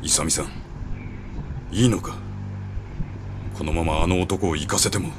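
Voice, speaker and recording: An actor playing a stern, commanding samurai officer in a video game, gruff, authoritative and coolly menacing. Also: male voice, low voice, unhurried. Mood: grave, restrained. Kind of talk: dramatic samurai game cutscene acting. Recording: ambient noise behind the voice.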